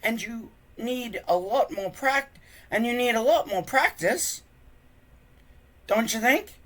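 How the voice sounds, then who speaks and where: A reader doing a very high-pitched, wry boy sidekick. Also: expressive, slow pace, fairly bright tone. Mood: gentle, hesitant. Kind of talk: children's storybook reading.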